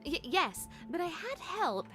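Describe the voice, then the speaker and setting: A woman's voice: very high voice, very animated, measured pace, music in the background, exaggerated voice. An actress playing a nervous young woman alchemist student who stammers.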